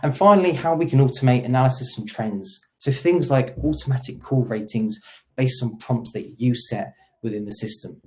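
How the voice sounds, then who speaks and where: A man's voice: medium pitch, moderate pace, dark tone. Man presenting a webinar demo. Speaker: webinar host; solution engineer.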